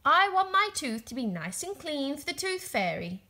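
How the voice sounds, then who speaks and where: Lady doing eager curious lil piglet girl for a storybook, high bright voice. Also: big pitch swings, moderate pace, full character voice. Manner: eager, exclaiming and questioning. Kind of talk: children's storybook read-aloud.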